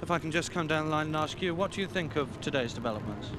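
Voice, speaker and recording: A man working as a field reporter, asking brisk, slightly stumbling questions in a live street interview. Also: on the high side, expressive. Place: busy city street at night, outdoors. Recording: outdoor traffic noise.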